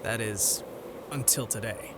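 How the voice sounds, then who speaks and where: A man playing a young, adventurous alien historian in an animated film, earnest and hopeful in a reflective, conversational way. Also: lowish voice, unhurried, very noisy, natural voice. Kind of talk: animated film voice-over narration and dialogue. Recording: music under the voice.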